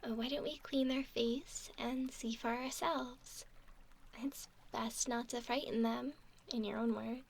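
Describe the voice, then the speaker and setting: A gentle young mermaid played by a woman coos in a soft, breathy ASMR whisper. Her voice sits fairly high and drifts into creaky fry. She speaks very slowly, doting and soothing. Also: steady tone. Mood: gently chiding. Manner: slow, soothing, cooing whisper and soft speech.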